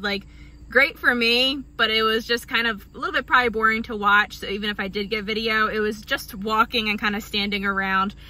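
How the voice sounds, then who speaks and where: A woman's voice: fairly high pitch, sharp tone, low-quality recording. A woman in her twenties chatting casually to her phone from a parked car, upbeat and full of fillers. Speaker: horse vlogger. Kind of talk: casual vlog chat. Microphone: phone at arm's length.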